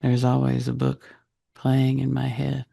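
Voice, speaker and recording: A man leading a guided meditation in a soft, breathy, husky voice, speaking deliberately in short phrases with long pauses. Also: mid-range voice, completely flat delivery. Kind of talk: guided meditation, slow soothing narration.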